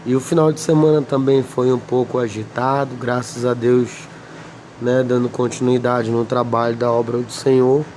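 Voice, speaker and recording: A man with a Brazilian Portuguese accent, slightly hoarse, teaching a Bible lesson and reading scripture slowly with many pauses. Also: medium pitch, level tone, darkish tone, a little hiss or hum. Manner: slow, deliberate, pausing often, asks "amém?".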